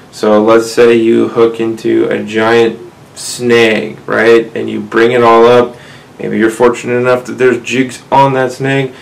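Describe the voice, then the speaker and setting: Gravelly-voiced man explaining fishing gear. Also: medium pitch, rough recording. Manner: conversational, addresses viewers as guys, frequent "right?". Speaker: outdoors channel host. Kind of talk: casual gear tutorial to camera. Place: small indoor room, seated at desk.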